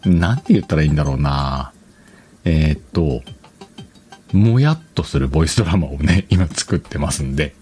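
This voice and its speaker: A man's voice: very deep voice, lively intonation, normal speed, dark tone, soft background music. A man hosting a casual solo podcast, chatting colloquially, rambling and correcting himself, on a dedicated microphone. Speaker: podcast host.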